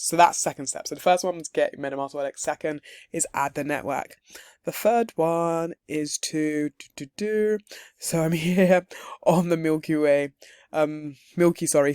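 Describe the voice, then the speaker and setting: A man with a hoarse, husky voice from losing it, giving a chatty, upbeat screen-share walkthrough of a crypto setup. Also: fairly high pitch, a little mellow, no room sound, studio quality. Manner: chatty and upbeat, with light laughs and frequent um's. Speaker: crypto tutorial creator.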